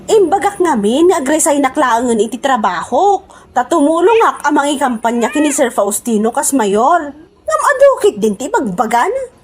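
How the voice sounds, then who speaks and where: A woman's voice: high voice, very animated, moderate pace. An actress with an Ilocano accent playing a brash, scornful woman in a comedy radio drama, snapping melodramatically. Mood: huffy. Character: brash, scornful woman quitting her job for politics.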